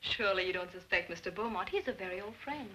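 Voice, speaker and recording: A woman's voice: medium pitch, expressive, fast, noisy background, lightly acted. An actress playing a nervous, loyal young woman companion in a 1940s film. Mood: uneasy. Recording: old film soundtrack with music behind.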